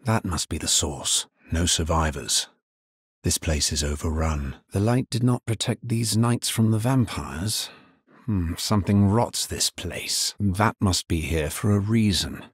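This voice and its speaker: Deep, husky, grim necromancer. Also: male voice, unhurried, no echo, clean studio sound. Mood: grave, questioning. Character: grim, dutiful male necromancer adventurer. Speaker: video game voice actor. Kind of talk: video game character voice lines.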